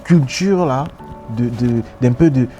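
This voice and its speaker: A man's voice: mid-range voice, measured pace, over music. A man hosting an interview, asking long questions with many stammered restarts and frequent affirmations. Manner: stammering restarts, warm affirmations, laughs along. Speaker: interview host. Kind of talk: casual interview conversation.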